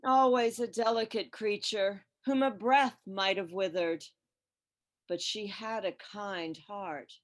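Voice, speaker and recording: A woman playing a solemn, measured ghostly spirit who guides and questions in a radio play. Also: mid-range voice, steady tone, close to an everyday voice.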